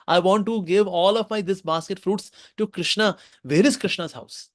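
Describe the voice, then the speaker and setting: A man's voice: moderate pace, dry recording, studio quality, close to an everyday voice. A man doing a pleading old fruit-seller woman. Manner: high, pleading, tearful.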